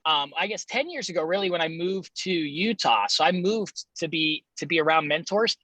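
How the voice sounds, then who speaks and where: A man with a slightly high, very bright and forward voice hosts an informal training call. He speaks in an enthusiastic, chummy way, and the sound is dry and close. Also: moderate pace, dry recording. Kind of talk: casual interview and introduction.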